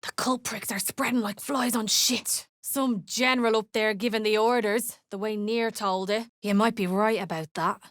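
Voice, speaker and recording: A woman voicing a gruff, foul-mouthed female duergar mercenary in a video game, blunt, coarse and threatening. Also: mid-range voice, steady tone, moderate pace, hardly any pauses, fairly bright tone, dry recording, studio quality, lightly acted. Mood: grim. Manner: coarse, blunt, curt, sweary. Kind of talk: video game character voice lines.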